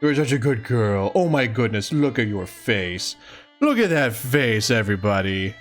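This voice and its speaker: A man hosting a livestream, chatting casually and affectionately with his viewers. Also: mid-range voice. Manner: affectionate, cooing to a pet. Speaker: livestream host.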